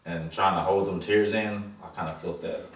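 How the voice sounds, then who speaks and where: Male co-reviewer, lowish voice, loose and profane casual talk, off-mic in an echoey room. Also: fairly even intonation, moderate pace. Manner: blunt, loose, slangy, profane. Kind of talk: casual chat. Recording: echoey, distant mic with background noise.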